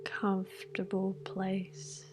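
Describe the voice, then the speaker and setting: A woman guiding a scripted meditation in a hushed, soothing manner, leaving long pauses between phrases. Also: mid-range voice, monotone, in a whisper. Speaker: meditation guide. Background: music with singing bowls.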